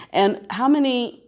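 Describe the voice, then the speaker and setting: A woman's voice: on the high side, a little mellow, lo-fi sound. A woman hosting a radio interview on location in a conversational way. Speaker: radio show host. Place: on location at a seminary.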